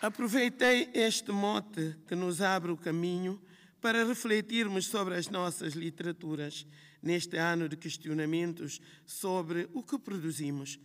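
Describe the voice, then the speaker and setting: A woman from Guinea-Bissau with a Guinea-Bissau Portuguese accent, a writer reading a measured, reflective prepared speech on a festival panel. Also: fairly low pitch. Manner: measured, reflective, listing phrases in rhythm. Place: large hall at a literary festival. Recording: long hall reverb.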